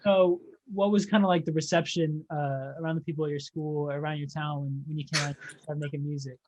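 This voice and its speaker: A young man with a slightly high voice acting as interviewer. He keeps things informal and agreeable, chatting in slang rather than formal questions. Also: steady tone, brisk, a little mellow, low-quality recording. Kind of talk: casual interview chat.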